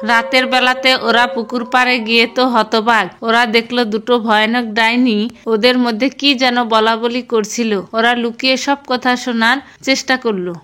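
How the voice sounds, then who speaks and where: A woman playing a storytelling mother and narrator in a cartoon fairy tale, with an expressive delivery. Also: a little high, moderate pace, clear, resonant tone, lightly acted. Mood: suspenseful.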